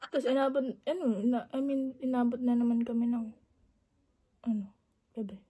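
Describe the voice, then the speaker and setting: A woman vlogger chatting casually on prank calls to friends, with a giggly, animated manner. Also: on the high side, moderate pace, very noisy. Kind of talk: casual chat on the phone.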